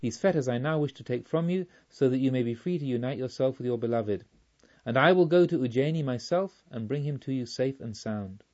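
Audiobook robber chief, read by a man: quiet menace, remorse, formal measured delivery, slightly muffled sound. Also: mid-range voice, animated, lightly acted. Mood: grave, earnest. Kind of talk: audiobook storytelling.

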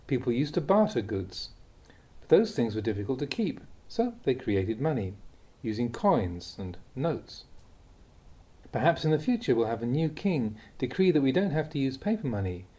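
A man, a monk, reading aloud a Buddhist teaching in a calm, deliberate way. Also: husky. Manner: calm, deliberate reading with lively pitch on key words. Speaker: monk reading Dhamma talks.

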